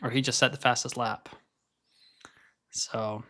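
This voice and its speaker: A man's voice: medium pitch, fairly even intonation, unhurried, sharp tone. A young man with an American accent, born in Scotland, hosting a casual live stream and chatting while he watches qualifying. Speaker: livestream host.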